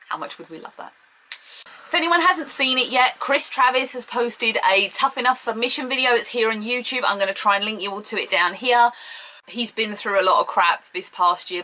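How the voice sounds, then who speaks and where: Bright, excitable British woman vlogging. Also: on the high side. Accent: British English. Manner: excitable, chatty, playfully teasing. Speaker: wrestling preview vlogger. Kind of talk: casual opinionated chat to camera.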